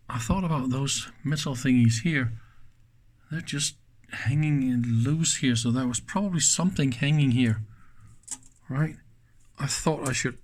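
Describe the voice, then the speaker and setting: A man doing casual, hands-on teardown commentary, thinking aloud and openly admiring the equipment. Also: medium pitch. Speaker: electronics teardown host.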